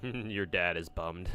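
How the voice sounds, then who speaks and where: A man's voice: low-pitched, bright, forceful tone. A young man with an American accent, chatting casually on a livestream while gaming, rambling and swearing with many restarts. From United States. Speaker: streamer.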